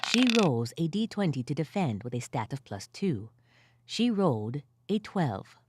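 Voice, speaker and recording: A woman working as game narrator, reading out dice rolls and stats from a script in a measured, matter-of-fact way. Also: sits low, very animated, heavy background noise.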